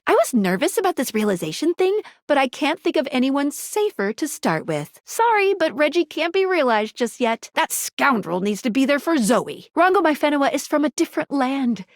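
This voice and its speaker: An actress voicing a perky, cheeky guide character in a dating video game, with a chirpy, teasing and expressive delivery. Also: female voice, sits high, dry recording, studio quality. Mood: bright, apologetic.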